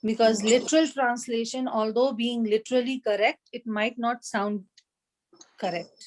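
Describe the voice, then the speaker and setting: A woman teaching an online Arabic class, explaining grammar and translation patiently, with frequent fillers and pauses. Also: fairly high pitch, steady tone, low-quality recording.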